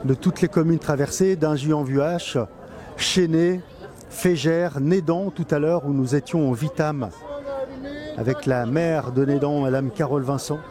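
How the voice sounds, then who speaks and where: A man with a slightly high voice reports live from an outdoor event. His commentary is halting, with pauses and repeated phrases as he describes the scene. A busy crowd surrounds him. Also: fairly even intonation. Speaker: event reporter and club president doing live coverage. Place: outdoors in a town street among a crowd. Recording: outdoor live recording amid crowd noise. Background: crowd and street sounds.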